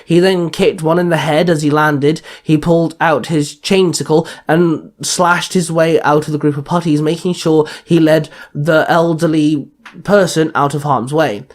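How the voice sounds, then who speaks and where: A man's voice: a little high, fairly even intonation, a little slow. A man reading out scripted action fiction as a narrator, now and then stumbling over a word and correcting himself. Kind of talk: scripted story narration with acted dialogue.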